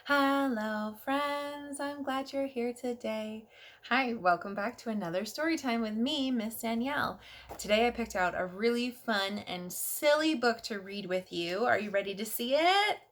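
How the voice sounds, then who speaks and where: A woman hosting a story time for young children, speaking in an expressive, sing-song and encouraging way. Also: on the high side, unhurried. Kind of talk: read-aloud addressed to young children.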